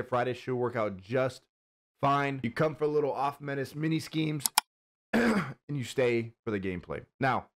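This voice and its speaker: A young man with a twang talks fast and loose, stuffy and nasal from allergies. His pitch sits in the middle for a man. He rambles excitedly as he walks through a video game strategy. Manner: hyped, rambling, Southern-tinged slang. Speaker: gaming YouTuber. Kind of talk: casual game tutorial commentary.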